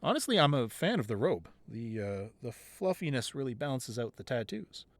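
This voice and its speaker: Playful, lively gang-leader boyfriend, acted by a man. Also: mid-range voice, dry recording. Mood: teasing, affectionate. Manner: playful mock-grumbling, soft asides, hesitant pauses. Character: tough male gang leader boyfriend, teasing and tender at home. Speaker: ASMR roleplay voice actor. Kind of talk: intimate ASMR boyfriend roleplay acting. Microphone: close microphone, dry.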